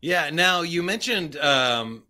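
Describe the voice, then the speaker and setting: A man hosting a podcast interview, asking questions in a relaxed, conversational way. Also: on the high side, slow. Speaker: podcast host.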